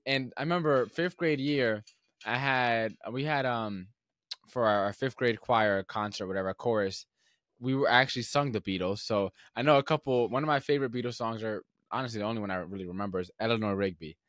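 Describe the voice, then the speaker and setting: A man's voice: medium pitch, a little fast, dry recording. Young man hosting in a dry room. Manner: relaxed, rambling storyteller with many fillers and restarts. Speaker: podcast host. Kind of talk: casual podcast conversation.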